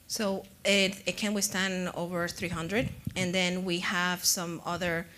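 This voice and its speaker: A woman's voice: fairly low pitch, flat delivery, unhurried, sharp tone, a little hiss or hum. A woman co-presenting a product, answering questions in a conference room with many fillers. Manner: explanatory, with fillers.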